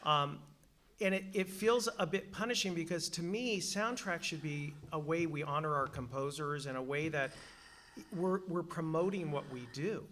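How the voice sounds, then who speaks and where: A man's voice: on the high side, moderate pace, fairly bright tone, a little hiss or hum. Candid, jokey man on a panel. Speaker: panelist and moderator. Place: studio room with an audience.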